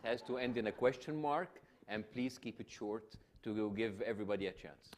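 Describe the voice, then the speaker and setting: Egyptian guy hosting a panel, lots of restarts, animated. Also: lowish voice, normal speed. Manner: hesitant, many restarts, animated. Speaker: panel host. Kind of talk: on-stage public discussion.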